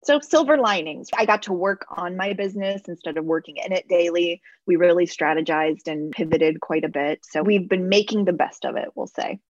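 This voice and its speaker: A woman in her thirties, a travel business owner and interview guest, talking in measured, reflective phrases and laughing often. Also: lowish voice, no echo, muffled recording. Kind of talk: casual interview chat.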